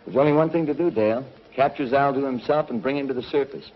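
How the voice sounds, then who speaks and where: An actor playing a calm, decisive space adventurer in a 1950s television serial. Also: male voice, medium pitch, fast talker, natural voice. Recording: dull 1950s TV soundtrack.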